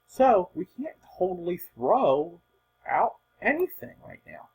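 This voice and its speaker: A man giving informal, unscripted weather forecast commentary in an emphatic, husky voice, repeating points for stress. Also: sits high, sing-song, slow, slight background noise.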